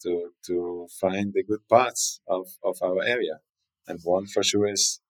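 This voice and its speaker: A man's voice: deep voice, fairly even intonation, unhurried, poor audio. A man from South Tyrol with a South Tyrolean German accent, speaking English as a second language in a podcast interview, hesitant and often repeating words as he searches for phrasing. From South Tyrol, northern Italy. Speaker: winery guest.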